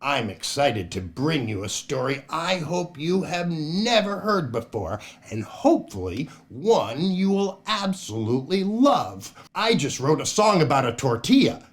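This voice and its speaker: Animated older man hosting children's storytime. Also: fairly high pitch, unhurried, hardly any pauses. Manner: playful, exaggerated, often chuckling at own jokes. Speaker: children's entertainer and storytime host. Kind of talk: animated children's show hosting and read-aloud.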